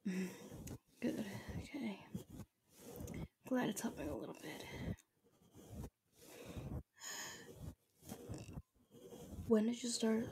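A woman playing a tender, doting, dominant girlfriend in an ASMR roleplay, speaking softly and gently with breathy whispers, close to the microphone. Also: fairly high pitch, noisy background. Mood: caring, gentle. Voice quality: soft, breathy, often whispering. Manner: slow, gentle, babying. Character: tender, doting dominant girlfriend comforting her sick partner.

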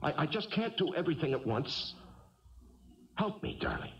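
Pleading ex-con husband, wildly swinging pitch. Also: male voice, very animated, normal speed. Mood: anxious, pleading. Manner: stammering, pleading. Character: weak, pleading ex-convict husband, evasive and defensive. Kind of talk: 1970s radio drama acting. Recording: muffled vintage radio broadcast.

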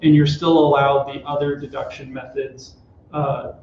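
A man in his thirties walks through tax rules in a measured, even way, his voice on the high side and quite flat. He sounds picked up in a small office room, with a roomy, dull quality to the sound. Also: slight background noise. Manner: steady, explanatory, with filler "uh"s. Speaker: tax expert guest. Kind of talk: explaining tax rules in a live company broadcast.

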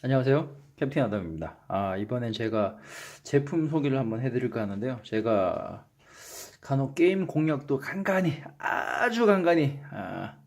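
A man's voice: mid-range voice, lively intonation, normal speed, darkish tone. A man with a Gyeongsang accent hosting a casual unboxing vlog, joking at his own expense and tripping over his words. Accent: Gyeongsang Korean. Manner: joking, self-mocking, trips over words. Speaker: channel host.

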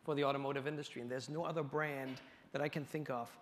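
A man's voice: mid-range voice, steady tone, fast talker, big-room sound, a little hiss or hum. A man introducing himself on a panel stage in an upbeat, conversational way. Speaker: panel guest, company executive. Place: stage in an event hall. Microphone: lapel mic.

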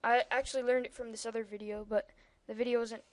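A woman's voice: on the high side, flat delivery, fast, no echo. A young-sounding female speaker giving a rambling, casual computer tutorial over a screen recording, with lots of ums and asides. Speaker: tutorial maker.

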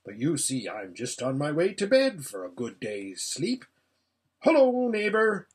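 A man voicing an easygoing, complacent little hedgehog from a storybook, chatty and folksy in an unhurried, rambling way. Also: mid-range voice, huge pitch swings, darkish tone, slightly rough. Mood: placid chattiness.

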